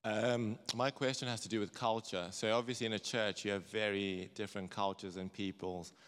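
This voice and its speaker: A man's voice: on the low side, little pitch movement, normal speed, a bit bright, slight background noise. A man asking a question from the audience in careful, hesitant phrases. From Zimbabwe. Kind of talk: spontaneous question at a church session. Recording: reverberant hall.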